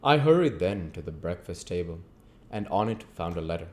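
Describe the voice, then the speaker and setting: A man's voice: low-pitched, very animated, moderate pace, a little mellow. A man reading a classic novel aloud as narrator and host of his own channel.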